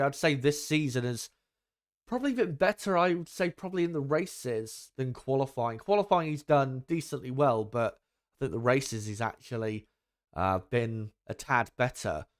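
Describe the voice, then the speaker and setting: A young man hosting a live stream, talking casually with lots of ums and asides to his viewers, his voice deeper than it used to be. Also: smooth. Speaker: livestream host. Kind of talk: casual live commentary chat.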